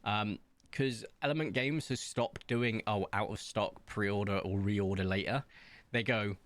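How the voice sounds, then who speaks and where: A man streaming from home, chatting casually in a rambling, self-mocking way and pausing often. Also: fairly low pitch, a bit bright, no room sound. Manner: rambling, self-mocking, hesitant with many ums. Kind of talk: casual chat reading viewer comments while playing.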